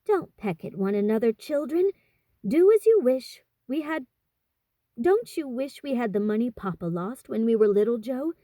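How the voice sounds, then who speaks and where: Woman as eldest teenage sister, storybook character, proper, motherly, chiding, higher pitch, mellow. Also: expressive, normal speed, dry recording, natural voice. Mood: wistful, chiding. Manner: earnest, chiding.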